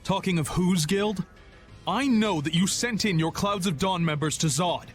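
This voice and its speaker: An actor playing a scornful, disapproving rival guild leader in a video game. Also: male voice, high voice, normal speed, faint music behind, natural voice.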